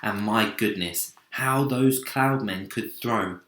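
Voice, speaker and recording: A man's voice: fairly low pitch, slow, fairly bright tone. A man narrating a children's storybook expressively. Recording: roomy, echoing sound.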